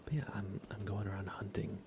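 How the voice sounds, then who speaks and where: A man streaming ASMR in a soft, breathy whisper, chatting casually and gently while he plays a game. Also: lowish voice, monotone, brisk, noisy background. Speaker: livestream host. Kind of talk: ASMR livestream, casual chat while gaming.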